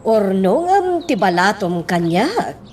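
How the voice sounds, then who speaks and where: An excitable, money-minded mother from a radio drama, played by a woman. She speaks very slowly with a lively, swinging pitch, a little away from the mic with faint noise behind. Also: far from the mic. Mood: insistent. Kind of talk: Ilocano radio drama acting.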